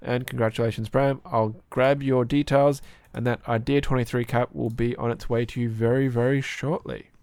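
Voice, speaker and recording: Hoarse man with a gravelly voice. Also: medium pitch, level tone, normal speed, few pauses, darkish tone, dry recording. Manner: chatty, rambling with fillers. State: hoarse, sore throat. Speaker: podcast co-host. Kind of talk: casual podcast chat.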